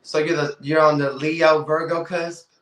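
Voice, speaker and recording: A playful man in his thirties with a high, bright voice hosts a casual interview. He teases his guest and bursts into exclamations. The room is echoey. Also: flat delivery, measured pace. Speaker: podcast host.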